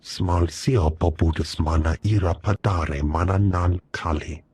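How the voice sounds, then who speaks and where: Slow, very husky low alien. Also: male voice, steady tone, darkish tone. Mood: guttural, measured. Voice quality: husky, rough. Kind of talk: video game voice acting.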